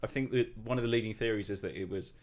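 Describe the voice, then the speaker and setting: A man's voice: on the low side, fast. A man hosting an improv podcast, talking in a hesitant way with lots of ums while he introduces guests. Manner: hesitant, ums and uhs, gently corrects others. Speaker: host. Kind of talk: casual improv podcast chat.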